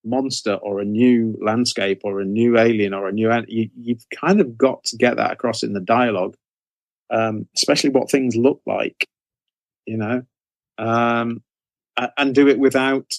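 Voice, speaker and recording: A man's voice: mid-range voice, fairly even intonation, normal speed, dry recording. A man giving casual interview answers as a guest author, hesitant and self-correcting, often repeating his phrases.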